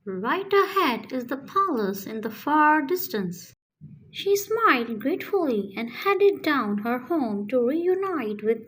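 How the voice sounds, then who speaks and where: A woman's voice: sits high, darkish tone, low-quality recording. A woman narrating a scripted children's bedtime story as a storyteller.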